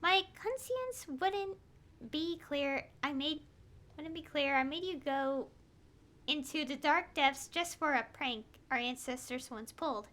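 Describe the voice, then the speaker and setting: A woman's voice: sits high, animated, unhurried, a bit bright. A woman voicing an earnest, cautious quest-giver in a game, explaining things carefully. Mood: concerned, apologetic.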